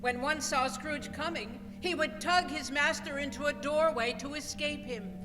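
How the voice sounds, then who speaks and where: A woman with a fairly high voice narrates a radio play in a theatrical, measured way. Long hall reverb surrounds her, and organ music plays prominently behind. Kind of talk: old-time radio style scripted narration. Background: live organ music.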